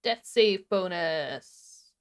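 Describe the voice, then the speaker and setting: A woman's voice: fairly low pitch, expressive, very slow. A woman co-hosting a casual livestream, speaking in brief, agreeable responses. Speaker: livestream co-host.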